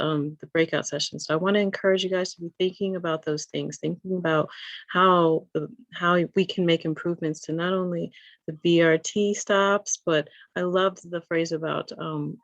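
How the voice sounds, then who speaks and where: A woman's voice: deep voice, creaky. A woman moderating an online community meeting as co-host, encouraging and welcoming to those taking part. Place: indoor room, online meeting. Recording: roomy, muffled video-call audio.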